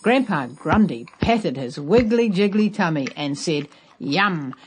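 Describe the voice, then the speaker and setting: Very animated woman reading a children's story. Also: on the low side, unhurried, slight background noise. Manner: expressive, emphatic. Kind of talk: animated children's read-aloud narration.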